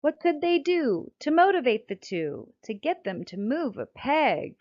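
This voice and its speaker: A middle-aged American woman reads a scripted text aloud as a children's author, in a deliberate, expressive storytelling manner. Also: high-pitched. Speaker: children's book author reading her own book. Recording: dull, lo-fi sound.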